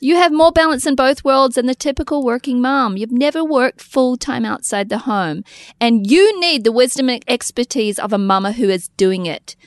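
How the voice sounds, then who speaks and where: Woman podcast host, animated, laughing, higher voice, dry close sound. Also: normal speed, dry recording. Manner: animated, laughing, reads listener letters aloud. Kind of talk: casual chat.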